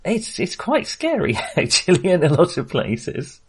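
A middle-aged man co-hosting a film podcast, talking casually and haltingly, often restarting his sentences. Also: high-pitched, huge pitch swings, husky. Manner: hesitant, halting delivery with false starts; chuckles often while talking.

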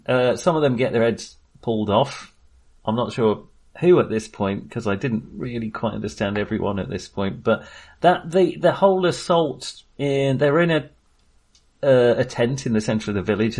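A man's voice: mid-range voice, lively intonation, normal speed, a little mellow. Hesitant man chatting casually about films. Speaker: podcast co-host reviewing films. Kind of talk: casual film discussion, recapping a plot.

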